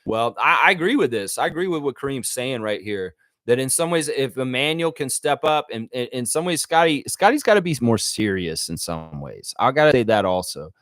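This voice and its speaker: A man with a mid-pitched voice hosts a live sports fan show. He chats informally with viewers, thinking out loud as he goes. The sound is dry, with very little room echo. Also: very animated, fairly quick, hardly any pauses. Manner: informal, conversational, thinking aloud. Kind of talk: casual live chat with viewers, reading comments aloud.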